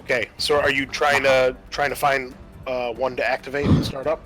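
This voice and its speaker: A man's voice: medium pitch, flat delivery, normal speed, fairly bright tone, faint music behind. A man acting as game master, running a tabletop roleplaying session for players.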